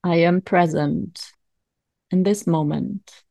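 Female meditation guide, lowish and very unhurried, calm and soothing, leaving long pauses, close dry sound. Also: vocal fry, no echo. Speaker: meditation coach.

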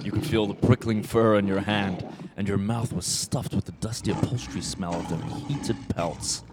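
A man playing the solemn narrator of a radio play, with measured, evocative delivery. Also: fairly low pitch, steady tone, heavy background noise, lightly acted. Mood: vivid, ominous. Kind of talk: scripted dramatic narration.

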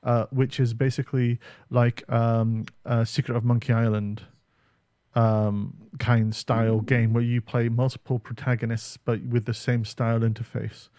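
A man hosts a casual gaming podcast, rambling along with frequent ums and uhs. His pitch sits in an ordinary middle range. The sound is dry and close. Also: flat delivery, unhurried, warm tone, no echo. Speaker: podcast co-host. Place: home setup on camera.

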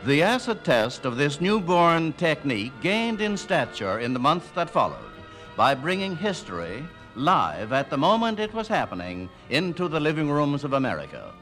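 A man narrates a scripted radio documentary with dramatic weight. His intonation moves widely from a slightly high base. Music plays softly underneath. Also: very animated, moderate pace.

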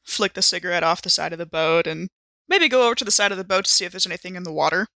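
Bright-voiced woman playing a tabletop game. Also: fairly low pitch, expressive, racing, dry recording, studio quality. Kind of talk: casual in-game chat.

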